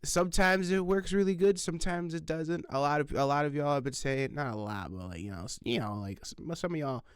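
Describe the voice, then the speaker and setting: A man's voice: on the high side, fairly quick, smooth, no echo, studio quality. A man hosting a reaction channel, talking in a loose, rambling way with lots of fillers and restarts, swearing casually and laughing at himself, sitting at his desk with an old microphone. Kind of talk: casual rambling vlog chat. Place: home recording setup, sitting at desk. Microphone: old desk microphone, sitting close.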